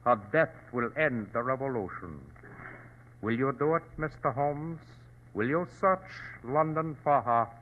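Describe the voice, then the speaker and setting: An actor playing a grave, imperious Chinese provincial governor, formal and courteously menacing, in old-time radio drama style. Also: male voice, mid-range voice, animated, natural voice. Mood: stern. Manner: formal, stately, deliberate. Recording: thin phone-like vintage radio recording.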